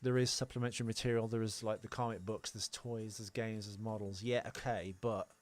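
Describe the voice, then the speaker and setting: A man's voice: fairly low pitch, steady tone, a little fast, no room sound. A man co-hosting a podcast, chatting casually about film trivia in a bantering, teasing way. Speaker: podcast co-host.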